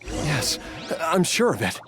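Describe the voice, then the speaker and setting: Ailing, devoted young man: slow, halting, strained delivery, swinging pitch, game drama. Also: sing-song. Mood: pained, searching. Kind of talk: dramatic video game voice acting. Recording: music under the voice.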